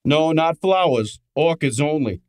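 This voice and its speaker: A man's voice: on the high side, lively intonation, a little slow, background noise. A man playing a brash, wisecracking detective's assistant in a radio drama, breezy and slangy. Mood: breezy, showing off. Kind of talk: old-time radio drama acting.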